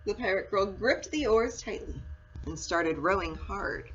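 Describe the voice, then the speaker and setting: A woman narrates a children's story with deliberate drama and expression. Her voice sits at a medium pitch. The recording is a little dull, with faint background noise. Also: animated, a bit bright. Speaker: story reader, school read-aloud.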